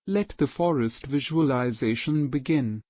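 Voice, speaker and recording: A man guiding a meditation in a slow, soothing, evenly paced scripted voice. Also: mid-range voice, mellow. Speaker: meditation guide.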